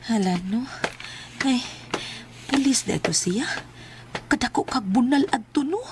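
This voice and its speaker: A woman with a Cebuano Filipino accent playing a chatty, expressive housewife in a comedy drama. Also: fairly high pitch, measured pace, background noise. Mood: nervous. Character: chatty, easily impressed housewife and landlady.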